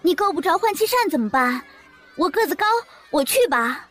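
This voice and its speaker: Eager, very high-voiced schoolgirl. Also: big pitch swings, fairly quick, fairly bright tone, soft background music. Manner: childlike, eager. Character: eager, confident little schoolgirl. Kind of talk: audio drama acting.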